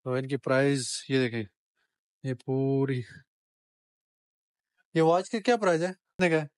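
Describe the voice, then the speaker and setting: A man's voice: medium pitch, unhurried, a little mellow, dry recording, studio quality. A man giving a chatty walking-tour vlog of a street market, talking straight to viewers and bargaining with shopkeepers as he films. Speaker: travel vlogger.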